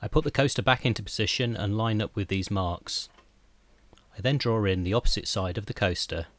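Calm man narrating woodwork steps. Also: lowish voice, a little fast. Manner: calm, methodical step-by-step explanation. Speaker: woodworking channel host. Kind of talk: scripted how-to voice-over.

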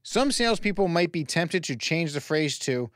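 A male sales coach narrates a scripted training lesson in a fairly high voice. His intonation moves up and down a lot as he speaks straight to the listener with emphasis. The sound is close and dry, like a studio voice-over. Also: expressive, normal speed, a bit bright, dry recording. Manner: emphatic, direct address with rhetorical questions. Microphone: close voice-over microphone.

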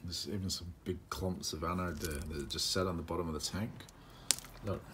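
A man in his forties with a low-pitched voice talks casually to his phone as a working plumber. He explains things step by step in an informal, plain-spoken way. The outdoor recording carries faint background noise. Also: steady tone, a little fast. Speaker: plumber presenting a how-to video. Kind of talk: casual explanatory vlog to camera. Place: outdoors in a residential yard by a brick wall. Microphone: phone at arm's length.

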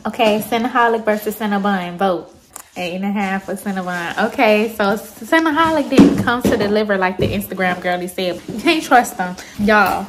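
A woman's voice: medium pitch, background noise. A woman in her thirties talking casually to her viewers, filming herself on a phone at arm's length. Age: 30s. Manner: chatty, addresses viewers as y'all. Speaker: vlogger. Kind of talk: casual vlog talk to camera. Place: outdoors at a fair and around town. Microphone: phone camera at arm's length. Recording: music playing behind the voice.

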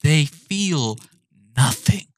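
A young man ranting profanely and with exasperation from his apartment, now and then breaking into shouts. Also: a little high, lively intonation, drawn-out words, no room sound, clean studio sound. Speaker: animated channel host. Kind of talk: ranting commentary.

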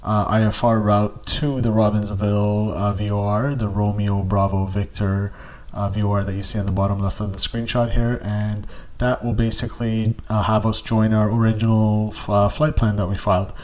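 Low, mellow-voiced man explaining casually. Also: little pitch movement, normal speed. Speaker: tutorial host. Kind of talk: casual tutorial narration.

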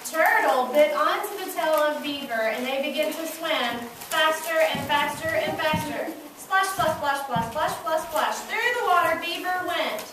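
A woman teacher telling a children's story slowly and theatrically, with emphatic repetition and drawn-out words. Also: sits high. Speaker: teacher narrating a children's puppet show. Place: classroom, recorded from across the room. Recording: echoey classroom, distant camera mic, noisy.

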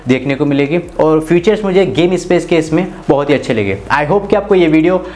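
A man's voice: on the high side, expressive, brisk, a little mellow. A man in his twenties with an Indian accent, explaining phone features step by step as a tech review host. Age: 20s. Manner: instructional, step-by-step. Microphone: clip-on lapel mic.

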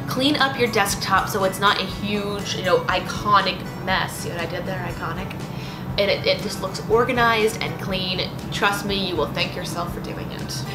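A young woman in her twenties from the United States giving casual, lively advice to camera in a small indoor room, with punchy little asides. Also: medium pitch. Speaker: lifestyle vlogger. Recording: roomy with background music.

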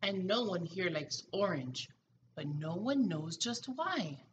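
A middle-aged woman, a preschool teacher, reading a picture book aloud expressively and deliberately to young children. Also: fairly low pitch, expressive, lo-fi sound, a little hiss or hum. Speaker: pre-K teacher. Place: small room at home, indoors.

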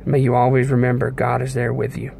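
A young man giving an informal devotional sermon in an earnest, measured way, pausing between short phrases. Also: medium pitch, completely flat delivery, darkish tone. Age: young adult. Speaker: preacher giving a devotional message. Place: inside a car. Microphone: phone at arm's length. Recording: clear background noise inside a car.

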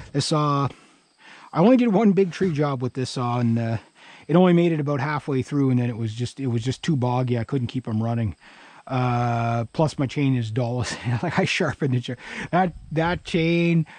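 A man's voice: a little high, moderate pace, darkish tone, dry recording. A middle-aged Canadian man chatting casually for a vlog outdoors, rambling and thinking aloud. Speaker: vlogger. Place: outdoors in a grassy yard by a pickup truck. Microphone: action camera worn on body or held at arm's length.

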